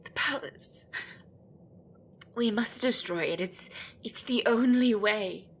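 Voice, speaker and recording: A woman playing a frightened, desperate gryphon in an audio roleplay, pleading and deferential, with halting, stammering delivery. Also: mid-range voice, a little hiss or hum. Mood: anguished pleading.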